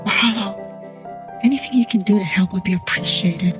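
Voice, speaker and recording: A woman in her thirties playing an earnest, troubled client who recounts her haunting in a measured, scripted dramatic monologue. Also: medium pitch, natural voice. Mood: grateful, sad. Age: 30s. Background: soft music.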